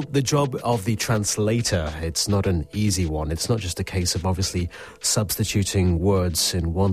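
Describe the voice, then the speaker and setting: Low-voiced male radio presenter.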